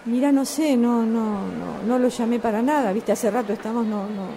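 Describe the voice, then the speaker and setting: Restless, evasive Rioplatense mom woman actor, radio drama, higher register, mellow tone, hesitant and repetitive. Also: normal speed, slight background noise, natural voice. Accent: Argentine Rioplatense. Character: evasive, restless middle-aged mother of a grown daughter. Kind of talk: naturalistic improvised radio drama dialogue.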